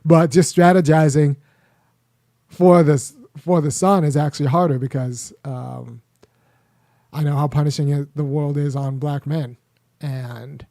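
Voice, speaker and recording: Male host, casual rambling talker, slightly high voice, dry close recording. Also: steady tone, a little slow, vocal fry, mellow, no echo. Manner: rambling, frequent restarts and fillers. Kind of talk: casual commentary monologue.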